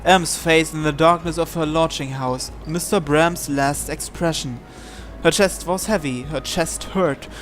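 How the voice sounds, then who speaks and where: A man's voice: a little high, unhurried, a bit bright, a little hiss or hum. A man reading visual novel narration aloud, sometimes stumbling and repeating words, with music behind. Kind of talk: live read-aloud narration in English.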